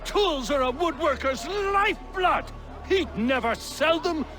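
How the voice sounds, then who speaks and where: A man playing an indignant, excitable wronged tradesman with an exclamatory, dramatic manner in a video game cutscene. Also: very high voice, a little slow, faint music behind, full character voice.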